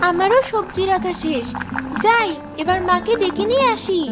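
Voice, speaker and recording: A woman's voice: extremely high pitch, normal speed, loud music behind the voice. A woman voicing a scheming young daughter-in-law in a cartoon fairy tale. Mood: eager, confident.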